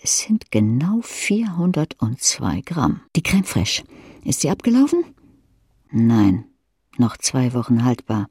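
A woman's voice: extremely low pitch, very animated, slow pace, mellow, breathy edge, no room sound, studio quality. A woman reading scripted literary narration as an audiobook, dramatic, moving between murmurs and sharper delivery. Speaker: audiobook reader.

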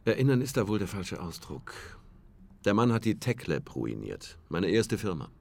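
A man's voice: deep voice, big pitch swings, normal speed, gravelly, darkish tone, full character voice. A man playing a self-assured, wry company boss in a naturalistic radio drama, articulate and somewhat formal. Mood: earnest, bitter.

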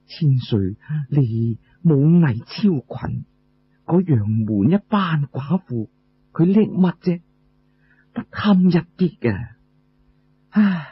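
A man's voice: on the high side, husky, heavily acted. A man voicing a shrewd, plaintive wife and mother in Cantonese storytelling, with coaxing, sighing delivery. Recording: muffled lo-fi recording.

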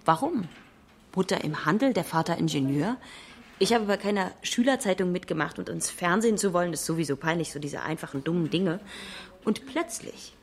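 A woman reading a translated interview as an expressive documentary voice-over. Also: mid-range voice.

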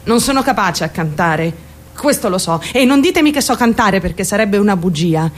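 A woman's voice: mid-range voice, lively intonation, moderate pace, close to an everyday voice. A woman acting a theatrical radio monologue as a troubled young woman, defensive and candid, rambling and addressing her listeners directly. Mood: wistful, self-deprecating. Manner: confiding, addresses listeners directly with rhetorical questions. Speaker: actor.

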